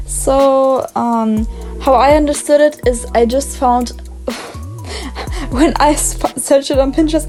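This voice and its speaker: A woman's voice: high-pitched, darkish tone. A young woman with a German accent, chatting casually in a bubbly, rambling voice-over about her drawings. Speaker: art vlogger.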